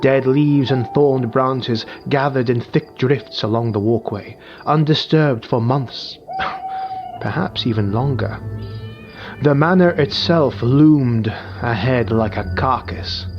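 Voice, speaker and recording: A male audiobook narrator reads dark fantasy at a steady, measured pace in a somber tone. His voice sits at a middle pitch. The audio is a bit dull and muffled, with quiet music playing underneath.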